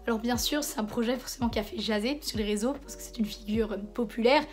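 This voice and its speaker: A hoarse woman with a cold hosting a casual commentary video, talking conversationally with hesitant restarts. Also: a little high, fast talker, faint music behind. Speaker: video essayist host.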